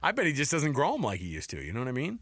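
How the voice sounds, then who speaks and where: A middle-aged man hosts sports talk radio in a bright, very animated voice. He banters casually and repeats phrases for emphasis. The sound is dry and close. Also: mid-range voice, racing, no room sound. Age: 40s or older. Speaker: sports radio show host.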